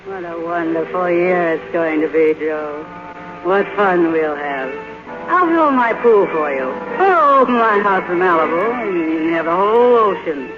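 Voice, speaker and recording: A woman's voice: fairly low pitch, lively intonation, unhurried. A dull vintage radio broadcast with music behind it. a grand faded film star, possessive and melodramatic, in a voice that sweeps widely and takes its time. She is imperious and theatrical. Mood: gushing. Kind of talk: 1950s radio drama acting.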